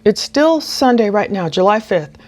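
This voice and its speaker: A woman hosting a true-crime show, narrating and commenting in a casual, conversational way with animated asides as she reads things out. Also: mid-range voice, normal speed. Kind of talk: casual commentary narration, reading posts aloud.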